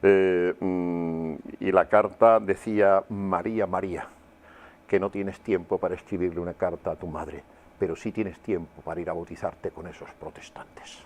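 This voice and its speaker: An older man with a low, deep voice. He shares personal testimony as an interview guest, pausing and searching for words as he reflects on his memories. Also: steady tone, darkish tone, a little hiss or hum. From Huelva, Andalusia, Spain.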